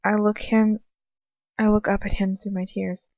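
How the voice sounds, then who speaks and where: A woman reading a dramatic first-person story aloud with an emotive, slightly halting delivery. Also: mid-range voice, flat delivery, darkish tone, dry recording. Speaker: amateur fan fiction reader. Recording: dull lo-fi home recording.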